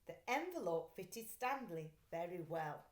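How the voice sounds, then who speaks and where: A woman reading a children's story aloud like a teacher, with deliberate, clear phrasing and expression. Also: lowish voice, very animated. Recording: echoey room.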